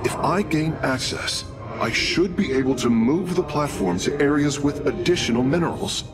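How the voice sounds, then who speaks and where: An actor playing an earnest, analytical alien engineer in a video game, humble and dutiful, speaking formally and precisely. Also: male voice, on the high side, expressive, normal speed, natural voice. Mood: thoughtful, calculating. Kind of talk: video game dialogue acting. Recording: loud music under the voice.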